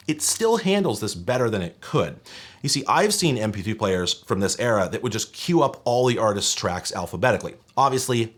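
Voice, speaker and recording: A man presenting an explainer video in an emphatic, wry, opinionated way, as if talking a viewer through a gadget review. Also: on the low side. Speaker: presenter of a retro tech review channel. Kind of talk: semi-scripted explainer video narration.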